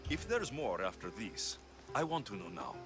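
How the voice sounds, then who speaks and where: A man's voice: lowish voice, very animated, normal speed, music in the background. A man playing a blunt, dry, confident Greek mercenary in a video game cutscene. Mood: impatient.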